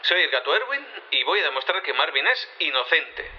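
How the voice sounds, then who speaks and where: An actor playing a determined cat in human form in an audio drama. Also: male voice, on the high side, big pitch swings, brisk. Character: bold male cat in human form, volunteer lawyer. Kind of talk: audio fiction acting. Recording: noisy, dull courtroom feed.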